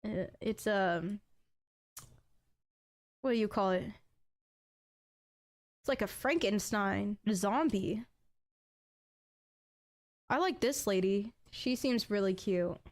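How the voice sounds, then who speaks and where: A young adult woman with an accent, chatting casually as a game streamer and bursting into excited exclamations. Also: medium pitch, slow, long pauses. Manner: chatty, bursts into excited "ooh"s over items. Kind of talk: casual live-stream chat.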